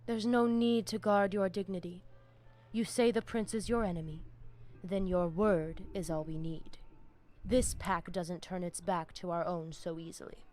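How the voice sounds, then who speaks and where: A woman's voice: fairly low pitch, fairly even intonation, a little slow, a little mellow, lightly acted. Woman as solemn vampire pack leader. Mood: reassuring. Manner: grave, formal, stately phrasing. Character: solemn Spanish-speaking female vampire pack leader, fierce and loyal. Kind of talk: dramatic video game acting. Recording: clear background ambience.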